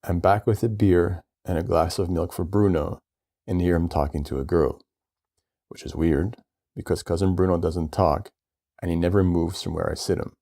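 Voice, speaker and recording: A man's voice: sits low, fairly even intonation, normal speed, warm tone. A man reading a first-person short story in a conversational storytelling manner.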